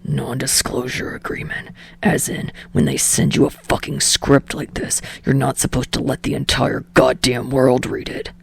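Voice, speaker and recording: A woman voicing a surly, foul-mouthed young male actor in a gruff, breathy mutter for a story reading. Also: a bass voice, level tone, moderate pace, pitched below the performer's own voice, full character voice. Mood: irritated. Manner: muttering, spitting words out through clenched teeth.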